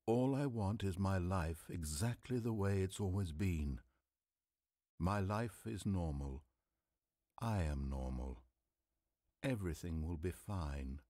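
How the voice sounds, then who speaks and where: A man's voice: animated, slow pace, long pauses, dark tone, dry recording, studio quality, heavily acted. An actor playing a desperate office worker man who pleads and screams that he is real, over game music. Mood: quiet pleading. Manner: pleading, voice climbing high into screams.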